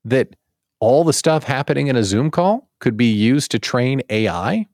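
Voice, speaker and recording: Animated man hosting a casual live show. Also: mid-range voice, normal speed, no room sound, clean studio sound. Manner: animated, jokey, self-interrupting, exclaims and asks rhetorical questions. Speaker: host of a daily live show. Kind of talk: casual live chat to an online audience.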